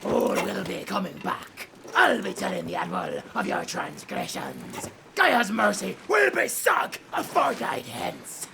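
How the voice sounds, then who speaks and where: A theatrical, menacing female pirate boss for an audio drama. The voice swoops widely and drawls slowly, full of taunts, over faint noise and ambience. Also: medium pitch, huge pitch swings, vocal fry, heavily acted. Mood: furious.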